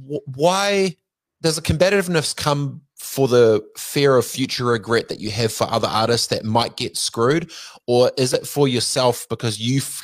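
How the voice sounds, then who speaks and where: A man's voice: mid-range voice, no echo. A man interviewing a guest, thinking aloud with many fillers before asking probing questions. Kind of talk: interview conversation.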